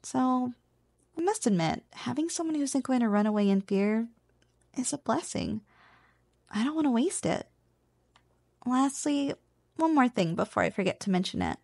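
Woman acting a teasing, high-voiced slime girl. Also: lively intonation, normal speed, vocal fry, long pauses, darkish tone, no echo. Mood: candid, soft. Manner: playful, coaxing, intimate address to the listener. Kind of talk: ASMR roleplay.

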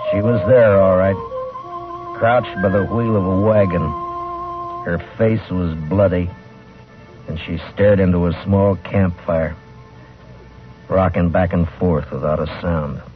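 Resolute western marshal, low and commanding, tinny vintage radio recording. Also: male voice, steady tone, measured pace, pauses often, over music. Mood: grim, measured. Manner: terse, commanding. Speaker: lawman hero and narrator. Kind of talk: old-time radio western acting.

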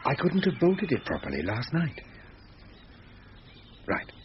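An actor playing an impatient, sceptical husband in a radio thriller, curt and sarcastic. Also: male voice, medium pitch, wildly swooping pitch, measured pace. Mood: exasperated. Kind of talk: thriller radio drama acting. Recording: dull, noisy radio drama recording.

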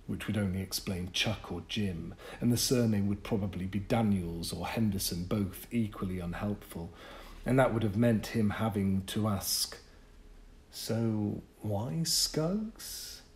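A man reading a literary short story as an audiobook, with measured, deliberate phrasing and pauses. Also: lowish voice, a little mellow. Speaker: audiobook narrator. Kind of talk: scripted literary story narration.

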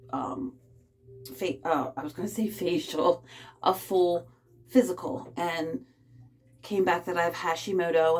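A woman in her fifties vlogging from her bathtub, talking in a rambling, halting way with many fillers. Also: deep voice, a little mellow, breathy edge. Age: 50s. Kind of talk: casual personal vlog. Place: small bathroom, in a bathtub. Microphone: phone held close.

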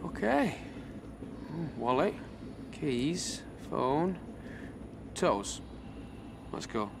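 Grumpy young lad, a bit high, dry jokes, faint hiss. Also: male voice, big pitch swings, very slow, gravelly, heavily acted. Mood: grumbling. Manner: grumbly, dry, sarcastic. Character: young British man, grumbling friend.

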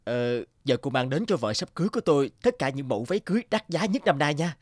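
A man voicing an earnest, expressive young office worker in a cartoon, with music underneath. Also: on the high side, quick pace, dry recording, clean studio sound. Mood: warm, casual. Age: young adult. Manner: expressive, dramatic. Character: earnest young office manager, groom-to-be, easily distressed. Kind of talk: cartoon dubbing.

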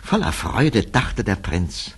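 A man's voice: deep voice, sing-song, slow, slightly rough. A man narrating a fairy-tale radio play in a scripted, dramatic storytelling manner.

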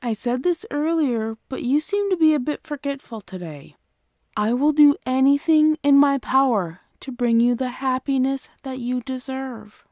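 A woman playing an affectionate, protective, gently bossy girlfriend in an ASMR roleplay, speaking tenderly and coaxingly. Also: sits high, normal speed, no echo, clean studio sound. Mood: loving, reassuring.

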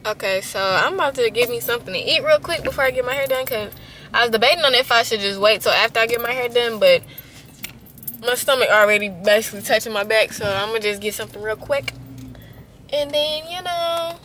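A woman's voice: on the high side, animated, fairly quick, fairly bright tone, slight background noise. A teenage girl, vlogging in a casual, rambling way, with a stuffy, congested nose. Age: teens. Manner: chatty and candid, rambling asides. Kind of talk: casual vlog chat.

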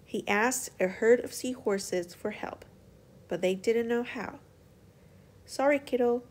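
A woman's voice: medium pitch, unhurried. A woman storyteller reading a children's picture book aloud as scripted narration.

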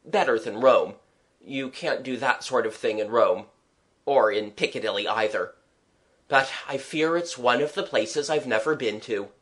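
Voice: medium pitch, measured pace, close to an everyday voice. A reader voicing a genial, well-travelled man who paints, with a dry, kindly, musing manner in a storybook.

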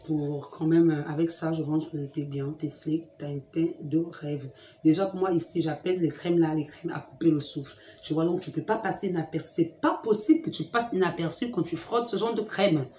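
A woman's voice: low voice, normal speed, echoey room, a little hiss or hum. Chatty young woman with a cold. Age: young adult. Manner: lively, talks straight to viewers, teasing. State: has a cold. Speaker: beauty vlogger. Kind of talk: chatty direct-to-camera vlog. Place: room at home with a fridge and sofa.

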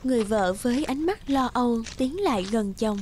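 A woman's voice: a little high, expressive, a little fast, darkish tone, a little hiss or hum. A woman reading a scripted fairy tale as the narrator of a cartoon. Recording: music under the voice.

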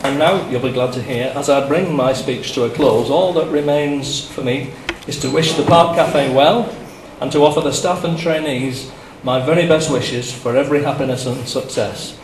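A man's voice: medium pitch, moderate pace, hardly any pauses. A man in his sixties reading a formal civic speech as mayor into a handheld microphone in a hall. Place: large hall at a podium. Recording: echoey hall with clear background noise.

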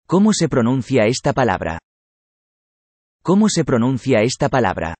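A man reading a vocabulary list in a measured way, saying each word twice for learners. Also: medium pitch, big pitch swings, a little mellow, dry recording. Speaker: Spanish vocabulary reader.

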